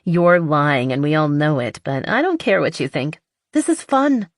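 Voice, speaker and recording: A woman's voice: low voice, sing-song, normal speed, no room sound, clean studio sound. A woman voicing a cheerful, artsy, chatty young woman in an audiobook romance. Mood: excited. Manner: chatty and enthusiastic. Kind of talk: audiobook character dialogue.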